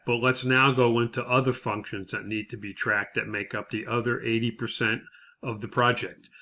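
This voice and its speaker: A man giving a lecture as an instructor, explaining methodically in a semi-scripted way with hesitant pauses. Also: medium pitch, bright, forceful tone.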